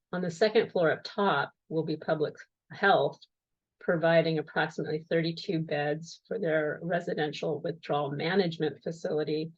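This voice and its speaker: A woman with a lowish voice presents project plans and timelines to a community meeting. She explains things in a steady, measured way, with the odd filler as she points to slides. The call audio comes through dull and lo-fi.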